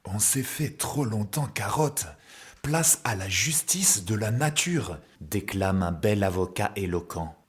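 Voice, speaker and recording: A man's voice: lowish voice, lively intonation, slow, breathy edge. A man narrating a children's cartoon story as a playful storyteller in scripted style. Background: music, plucked guitar.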